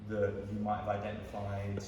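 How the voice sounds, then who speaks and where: Low, flat-voiced man explaining in a hall. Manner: measured, lecturing. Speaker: psychotherapist panelist. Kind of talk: explanatory panel talk. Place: large gallery room. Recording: echoey gallery hall from far off, noisy.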